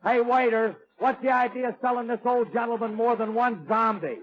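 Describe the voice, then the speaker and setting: A man's voice: very high-pitched, moderate pace, noisy background. An actor playing a brash, mocking married man in a 1940s radio comedy, on a muffled old recording. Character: brash married man who denies knowing the hero, mocking.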